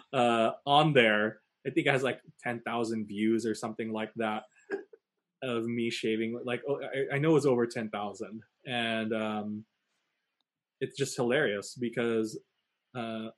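A man co-hosting a casual talk show, speaking hesitantly with many fillers. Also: medium pitch. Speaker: podcast co-host. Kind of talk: casual chat.

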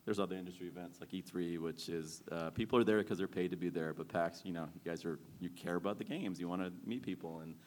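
A man's voice: low voice, quick pace, hardly any pauses, slight background noise. A man in his forties, a game studio founder, talking casually on a panel with lots of fillers and self-deprecating humor. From born in Sri Lanka, raised in Maryland. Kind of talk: panel discussion.

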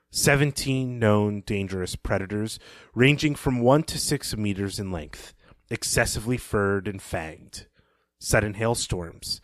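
A man co-hosting a book podcast, reading a passage aloud in a slow, measured way and talking about it casually. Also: lowish voice, a bit bright, no room sound. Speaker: podcast co-host.

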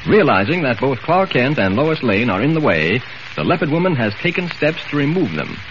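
A man's voice: mid-range voice, very animated, normal speed. A man narrating a 1940s radio serial in a scripted, dramatic, suspenseful storytelling manner. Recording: noisy, muffled vintage radio recording.

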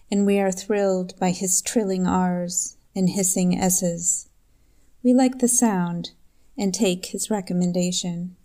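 Slow, mellow-voiced woman reading a poem. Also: fairly low pitch. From New York State, USA. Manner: slow, measured, deliberate recitation. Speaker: poet reading her own work. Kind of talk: poetry reading.

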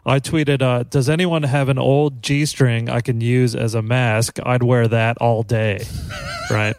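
A man's voice: mid-range voice, steady tone, slow pace, a little mellow, no room sound. Jaded, joking Australian-based man. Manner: jaded, self-deprecating, laughs often. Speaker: podcast host. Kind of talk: casual chat.

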